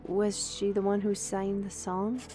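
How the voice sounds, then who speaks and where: An actress playing a gentle female steward who patiently questions a frightened witness. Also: mid-range voice, monotone, unhurried, faint music behind, close to an everyday voice.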